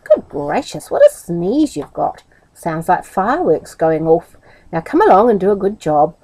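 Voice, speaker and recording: A woman voicing a cheerful, hardworking shop helper in a storybook, speaking brisk and breezy. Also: lowish voice, big pitch swings, warm tone, low-quality recording.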